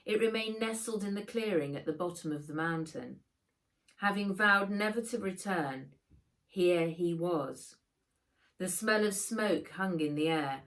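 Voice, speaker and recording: A woman reading a children's adventure novel aloud, in measured, dramatic storytelling. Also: lowish voice. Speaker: author reading own book aloud. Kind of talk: scripted audiobook narration for children.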